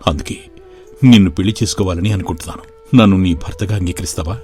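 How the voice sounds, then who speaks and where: A man voicing an earnest young village man who sincerely declares his love, in an animated story. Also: deep voice, fast talker, faint music behind, natural voice.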